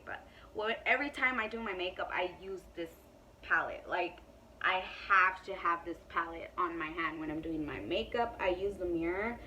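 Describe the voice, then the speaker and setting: A woman's voice: on the low side, bright, forceful tone, far from the mic, a little hiss or hum, poor audio. A woman in her twenties chatting casually to camera in a beauty Q&A, emphatic and full of "like" and "you know.". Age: 20s. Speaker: beauty vlogger.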